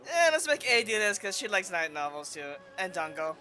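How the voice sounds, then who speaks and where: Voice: medium pitch, big pitch swings, a little fast, pushed and bright. A game streamer giving casual commentary and offhand reactions while playing. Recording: game music behind the voice.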